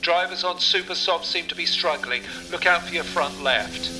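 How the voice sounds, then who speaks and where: An actor playing a calm male race engineer on team radio, giving clipped, businesslike calls. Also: on the high side, moderate pace, music in the background, natural voice.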